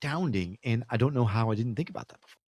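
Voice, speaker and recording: A man from Connecticut hosts a podcast interview, enthusiastic and effusive, reacting with emphatic wonder to what he hears. His voice is at a middle pitch for a man. The recording is dry and close. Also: lively intonation, quick pace, darkish tone, no echo. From Connecticut, USA. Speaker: podcast host.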